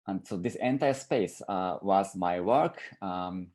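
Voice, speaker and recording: Low-voiced man, halting artist talk. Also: darkish tone. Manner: halting, searching for words. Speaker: artist presenting own work.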